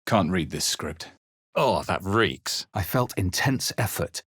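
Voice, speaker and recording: Deep, husky, brooding fantasy game hero. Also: male voice, lively intonation, a bit bright, no room sound, clean studio sound, heavily acted. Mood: wary, dry. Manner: measured, dramatic, solemn delivery. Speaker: video game voice actor. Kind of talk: scripted fantasy game narration, character lines and spell incantations.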